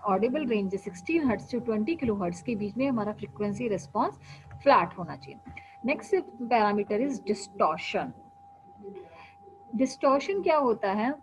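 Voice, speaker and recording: A woman's voice: fairly high pitch, a little mellow. A woman lecturer explains technical topics, posing questions and answering them herself and often checking in with students. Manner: explanatory, asks and answers her own questions, frequent "ठीक है" checks. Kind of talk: classroom lecture mixing Hindi and English. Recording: dull lo-fi sound with faint hum.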